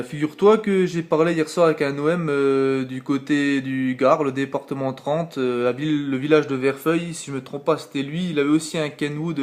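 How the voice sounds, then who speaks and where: Chatty man on amateur radio. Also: medium pitch. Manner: chatty, repeats filler tags. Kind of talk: casual radio contact chat.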